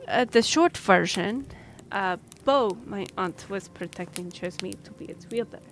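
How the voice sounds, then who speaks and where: A woman's voice: medium pitch, unhurried, a bit bright, natural voice. A woman playing a friendly, earnest young half-elf royal messenger in a fantasy audio roleplay, speaking a little hesitantly. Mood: earnest, explaining. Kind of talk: fantasy audio roleplay, one-sided conversation. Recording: noisy track with background ambience.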